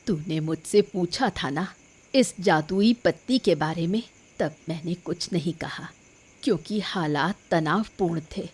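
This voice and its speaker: Woman playing a slow-talking, wise old village mother. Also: lowish voice, slightly rough. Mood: calm, revealing. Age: older. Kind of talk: scripted cartoon story acting. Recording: music bed under the voice.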